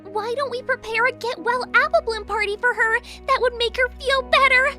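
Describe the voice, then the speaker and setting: A woman voicing a bubbly, hyperactive pink female party pony in a fan audio drama, chattering excitedly. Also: extremely high pitch, moderate pace, soft background music.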